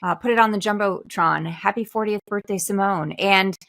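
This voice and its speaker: A woman's voice: mid-range voice, moderate pace, fairly bright tone. A woman in her forties co-hosting a podcast with her daughter, telling stories in a chatty way and laughing often. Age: 40s. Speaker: podcast co-host, mother. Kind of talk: casual chat.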